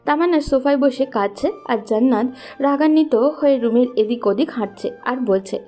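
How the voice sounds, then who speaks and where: Mellow woman narrating a love story. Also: high-pitched, moderate pace, soft background music.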